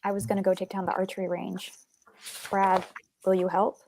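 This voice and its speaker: A tentative young woman at summer camp, played by a woman, conciliatory in tone. It is a live script read on a call with clear background noise. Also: mid-range voice, little pitch movement, a little fast, mellow, close to an everyday voice, poor audio.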